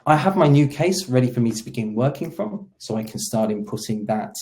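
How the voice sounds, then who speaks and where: A man's voice: medium pitch, animated, a little fast, a little mellow. A man hosting a webinar, presenting a product demo in an explanatory way and stressing the starts of his sentences. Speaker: webinar host; solution engineer.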